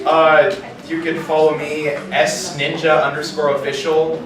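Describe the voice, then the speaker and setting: A man's voice: on the high side, measured pace, crowd noise. Man in a very echoey room. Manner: animated, informal, slangy. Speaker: voice actor on a convention panel. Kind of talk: casual panel Q&A. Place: large indoor convention panel room. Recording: very echoey, distant, noisy convention room.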